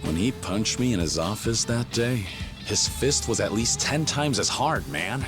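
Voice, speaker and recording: A deep-voiced stoic young yakuza. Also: male voice, animated, a little slow. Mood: dry. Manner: dry, clipped, understated delivery. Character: stoic, terse young male yakuza brawler. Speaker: game character. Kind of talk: English video game dub. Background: game soundtrack music.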